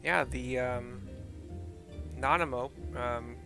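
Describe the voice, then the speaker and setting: A man casually describing video game scenes as he plays, in an offhand, halting, slightly wry way. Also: medium pitch, gravelly, over music. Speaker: game streamer.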